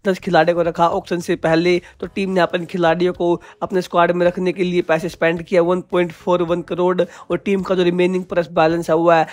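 A man narrates a sports breakdown in a high, fairly flat voice. He keeps a steady explanatory tone and speaks to viewers as friends. The sound is dry and close, with no room around it. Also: a little fast, hardly any pauses, no room sound. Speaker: kabaddi video presenter. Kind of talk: scripted voice-over analysis.

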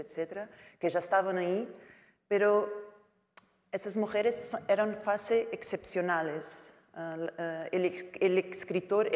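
A woman speaking in her second language with a Portuguese accent, a writer on a panel, halting and searching for words. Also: lowish voice, a little mellow. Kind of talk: panel talk. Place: lecture hall. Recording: long hall reverb.

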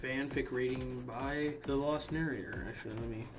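A man's voice: medium pitch, fairly even intonation, moderate pace, soft background music. A young adult man giving casual commentary as the host of a reaction video.

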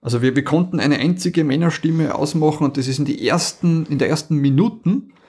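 A man's voice: a little high, fairly quick, slightly rough. Chatty man reviewing a radio play. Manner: lively, laughs often, restarts sentences. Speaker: podcast co-host. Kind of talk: casual chat about audio dramas.

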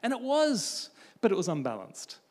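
A man from Australia giving a conference talk about faith and friendship, telling stories in an animated way as he moves around a large stage with a handheld mic. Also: sits high, normal speed. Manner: animated storytelling, pacing the stage. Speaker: conference speaker. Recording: long hall reverb.

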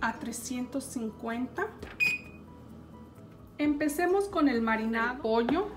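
A high-pitched woman talks viewers through a recipe in a calm, step-by-step way. Clear background music plays under her voice, with dishes and pans clinking in the kitchen. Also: a little slow. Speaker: cooking show host. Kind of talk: home cooking demonstration.

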